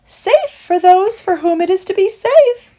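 A woman voicing a gentle female turtle dove in a storybook, cooing and cryptic. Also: very high voice, animated, measured pace, pitched above the performer's own voice. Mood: serene welcome. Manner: cooing, riddling.

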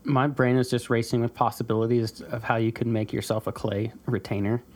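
A woman's voice: extremely low pitch, level tone, a little fast, a little mellow. A woman co-hosting a podcast who makes teasing jokes in casual chat. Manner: dry teasing humor.

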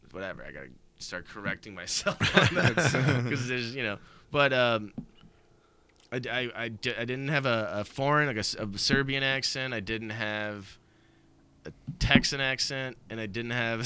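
A man's voice: mid-range voice, moderate pace, bright, forceful tone, clear, resonant tone. A young man from Bosnia and Herzegovina, a comedian guest on a podcast, rambling casually with lots of swearing, restarts and laughter. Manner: rambling, profane, laughs often, many restarts.

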